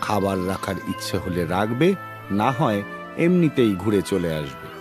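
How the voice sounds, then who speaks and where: A man's voice: on the low side, sing-song, normal speed. Man playing a stern lecturing old guru. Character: stern older male hermitage teacher, strict and moralizing. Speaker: teacher. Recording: music playing behind the voice.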